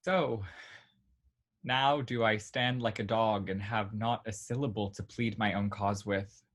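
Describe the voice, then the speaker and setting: A man playing a gallant, self-mocking young gentleman suitor in a theatrical period comedy. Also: on the low side, steady tone, a little slow. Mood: abashed, ardent.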